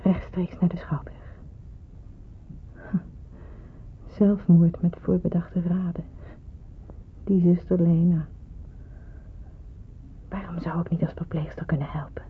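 An actress playing a despairing, brooding young nurse who is weary of hiding, in radio drama style. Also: female voice, on the low side, flat delivery, slow, long pauses, full character voice. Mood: resigned, despairing. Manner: brooding, hesitant. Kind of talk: radio drama acting with inner monologue. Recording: muffled phone-like old radio recording, clear hiss.

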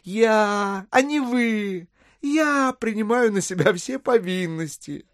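An actor playing a scheming, smooth-talking gentleman swindler in a classic audio drama, insistent, wheedling and easily exasperated. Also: fairly high pitch, big pitch swings, measured pace, dry recording, clean studio sound. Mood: persuasive, insistent. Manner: insistent, wheedling, theatrically emphatic.

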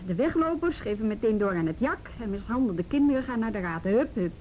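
A woman's voice: expressive, a little fast, darkish tone, lightly acted. A woman acting in a naturalistic radio drama as a wry, world-weary police officer with a dry, sardonic manner. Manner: dry, sardonic, mildly swearing. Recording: noisy rumbly radio drama recording.

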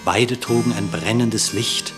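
A man narrating a literary radio drama in verse with solemn, measured storytelling. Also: fairly low pitch, music in the background.

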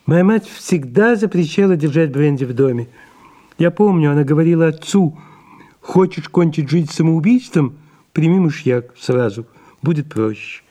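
A man acting a dry, dutiful secretary to an aging diva in an audio play, speaking in a measured, formal way. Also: fairly high pitch, expressive, warm tone, lightly acted. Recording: music clearly behind the voice.

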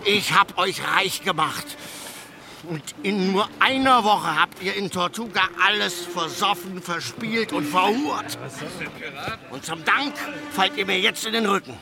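An actor playing a vengeful, hot-tempered pirate captain in a radio play, menacing and sneering. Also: male voice, high voice, lively intonation, unhurried, background noise. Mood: outraged. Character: furious, vengeful male pirate captain facing mutiny.

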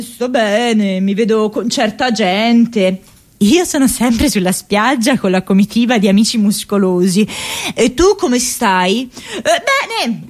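A young woman in a radio play, high in pitch and swinging widely in melody. She is impulsive, lovelorn and self-mocking, telling her story theatrically and with open emotion. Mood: flustered, awkward. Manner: theatrical, emotional, with repeated phrases. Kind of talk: dramatic monologue, radio theatre acting.

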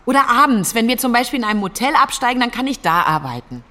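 An actress playing a stressed, defensive workaholic freelancer, insistent and placating in naturalistic, colloquial radio play dialogue. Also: female voice, a little high, very animated, quick pace, pushed and bright.

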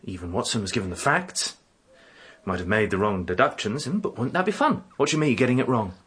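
Earnest, protective young man, played by an actor, animated. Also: on the low side, brisk, background noise, close to an everyday voice. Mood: coaxing. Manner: reassuring, earnest. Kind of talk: radio drama acting.

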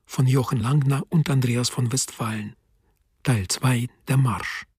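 Raspy male radio announcer. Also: mid-range voice, fairly even intonation, a little slow, a little mellow, no room sound, studio quality. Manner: sober, announcing. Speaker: narrator. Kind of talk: documentary radio drama announcing.

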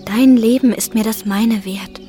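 An actress playing a calm, devoted and quietly brave young village girl in a German radio play, speaking tenderly. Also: fairly high pitch, measured pace, soft background music, close to an everyday voice. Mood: resolute.

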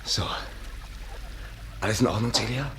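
An actor playing a brisk, confident, cajoling amateur detective in a 1950s German radio drama. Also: male voice, on the low side, noisy background, close to an everyday voice. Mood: hushed, businesslike.

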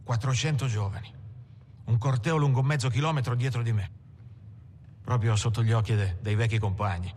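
An actor playing a tough, proud working-class gangster from Turin, reminiscing bluntly in a dramatic film monologue. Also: male voice, medium pitch, moderate pace, long pauses, close to an everyday voice. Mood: nostalgic pride. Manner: colloquial, blunt, defiant. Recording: music behind the voice.

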